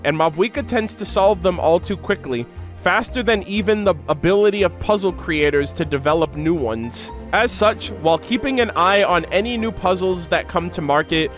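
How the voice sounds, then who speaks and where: Higher-voiced man reacting over music. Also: a bit bright. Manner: informal slangy asides between measured reading. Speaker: video creator reacting to game lore. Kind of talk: reading lore aloud with casual running commentary.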